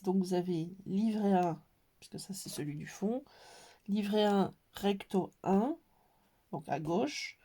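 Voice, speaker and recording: Woman with a cold showing a craft album, lots of pauses and ums, mellow voice. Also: lowish voice, a little hiss or hum. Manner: hesitant, many pauses and fillers. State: has a cold. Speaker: scrapbooking tutorial maker. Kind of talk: casual craft tutorial.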